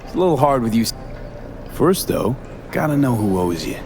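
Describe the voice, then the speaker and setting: A man's voice: mid-range voice, very animated, a little fast, loud music behind the voice. A man playing a tough cyberpunk mercenary in a video game, asking questions in a clipped, probing way. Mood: cool, probing.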